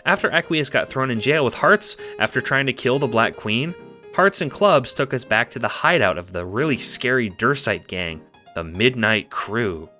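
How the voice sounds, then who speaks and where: A man playing an excitable, affectionate young cat-like alien troll girl, rambling playfully as narrator of a fan audio drama. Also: mid-range voice, normal speed. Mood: cheerful reminiscing. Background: music.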